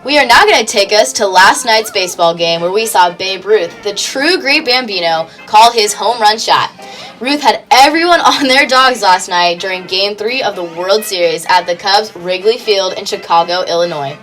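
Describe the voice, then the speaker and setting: A woman's voice: mid-range voice, expressive, moderate pace, a bit bright, faint music behind, poor audio. A young woman reading a scripted 1920s-style radio sports report.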